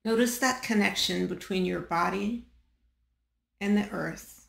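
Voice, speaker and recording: A woman guiding a body scan meditation in a calm, measured manner, leaving long pauses between short phrases. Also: medium pitch, vocal fry, echoey room. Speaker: meditation guide.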